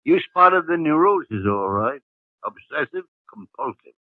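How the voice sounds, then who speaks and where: An actor playing a gruff, grumbling elderly male hospital chief in a 1950s radio drama. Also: a little high, very animated, measured pace, no room sound. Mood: probing. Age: older. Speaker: senior hospital doctor. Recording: tinny old 1950 radio broadcast.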